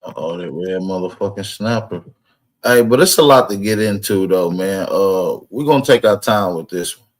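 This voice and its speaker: A man's voice: sits low, moderate pace. A man hosting a reaction livestream, talking straight to viewers in a casual, hyped way. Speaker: host of a reaction channel.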